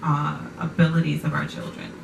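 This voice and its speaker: Low gravelly woman hosting a chat, echoey room, mic kinda far off. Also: completely flat delivery, brisk, noisy background. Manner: affirming, repeats words back. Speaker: podcast host. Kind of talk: casual conversation.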